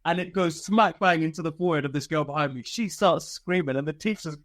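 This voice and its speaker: A man's voice: on the high side, quick pace, fairly bright tone. A man telling personal stories casually as an interview guest, chatty and informal, swearing freely and often chuckling. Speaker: interview guest, former soldier.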